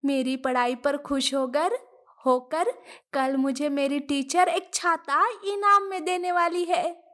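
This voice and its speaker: Cartoon schoolboy played by a woman: poor, dutiful, anxious. High-pitched, unhurried, earnest delivery. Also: steady tone, a bit bright. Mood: eager. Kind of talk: Hindi moral-story cartoon dubbing.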